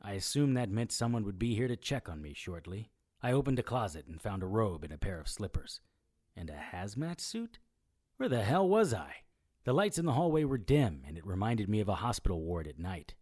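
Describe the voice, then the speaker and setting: An actor playing a wry, self-mocking immortal occult investigator who narrates an audio drama in noir style. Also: male voice, lowish voice, very animated, fairly quick, darkish tone, dry recording, studio quality. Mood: brooding, puzzled. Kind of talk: scripted first-person audio drama narration.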